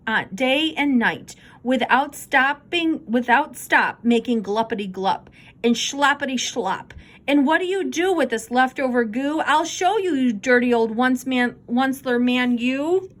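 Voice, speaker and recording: A woman's voice: sits high, lively intonation, unhurried, a bit bright, voice pushed up. A woman voicing an indignant small storybook creature, scolding and pleading emphatically on behalf of animals. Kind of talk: storybook read-aloud.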